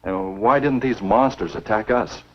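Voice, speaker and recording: An actor playing a stubborn, skeptical American game warden in a 1950s horror film, firm and matter-of-fact. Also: male voice, on the low side, a little slow. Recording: dull, lo-fi 1950s film soundtrack.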